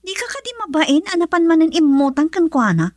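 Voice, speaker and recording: A wife worn down by poverty who scolds her husband. Her voice sits high and sounds sharp with exasperation. It is recorded close and dry, radio-play style. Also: sing-song, brisk, no room sound. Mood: exasperated. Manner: scolding, nagging. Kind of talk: radio drama acting.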